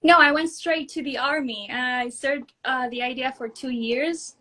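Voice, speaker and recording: A young woman from Israel in her early twenties speaks in a clearly high voice. She answers casually, as a guest in an online interview. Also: moderate pace, sharp tone. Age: early 20s. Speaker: guest, actress and singer.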